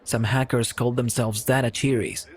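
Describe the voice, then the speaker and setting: Actor as reflective, resigned man, medium pitch. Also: little pitch movement, close to an everyday voice. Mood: musing. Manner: explanatory, measured, musing. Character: reflective man explaining a dystopian myth, resigned. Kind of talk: radio drama acting.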